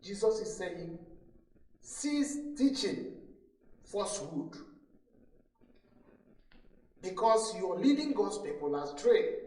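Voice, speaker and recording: High-voiced male pastor preaching slowly. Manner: solemn, admonishing, emphatic, repeats phrases for weight. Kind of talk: sermon. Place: church hall during a service. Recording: echoey room, distant microphone.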